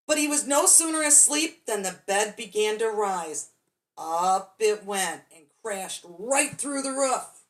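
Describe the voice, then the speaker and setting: A woman's voice: mid-range voice, lively intonation, slow pace, low-quality recording. A woman storyteller reading a children's bedtime story expressively, drawing out key words for dramatic emphasis.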